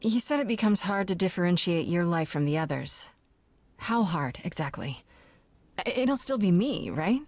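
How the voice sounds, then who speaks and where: A woman's voice: fairly low pitch, lively intonation, moderate pace, a little mellow, no echo. An actress playing a questioning, uneasy young woman newly made a god in a video game, talking in a plain, casual way. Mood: uneasy, doubtful. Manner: inquisitive, plain-spoken, casual.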